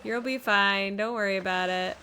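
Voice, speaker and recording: A woman game streamer giving casual, expressive commentary, exclaiming and talking to the characters on screen. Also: mid-range voice, normal speed, a little hiss or hum. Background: game music.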